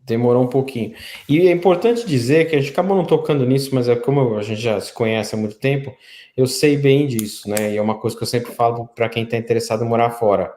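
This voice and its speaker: A middle-aged man with a Brazilian Portuguese accent speaks as an interview host in an echoey, roomy recording. His pitch is average and his delivery is casual and explanatory, like informal conversation. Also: fast talker, dark tone.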